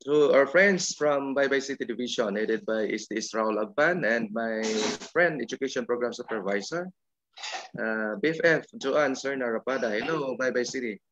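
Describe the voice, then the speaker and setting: A man with a Filipino accent giving formal ceremonial greetings as an education supervisor, deliberately announcing names and titles. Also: mid-range voice, a bit bright, a little hiss or hum. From Philippines. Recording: dull lo-fi audio.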